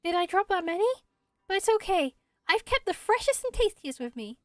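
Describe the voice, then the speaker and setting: A woman's voice: very high-pitched, very animated, brisk, dry recording, clean studio sound. A woman voicing a timid, dreamy little forest spirit for a video game, hesitant and rambling in a wide-eyed way. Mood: wistful, earnest.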